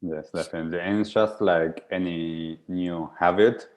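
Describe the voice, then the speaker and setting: A man's voice: low voice, fairly even intonation, moderate pace, darkish tone. A man from Argentina, a native Spanish speaker, hosting a podcast and musing reflectively with many fillers. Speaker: podcast host. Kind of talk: conversational podcast interview.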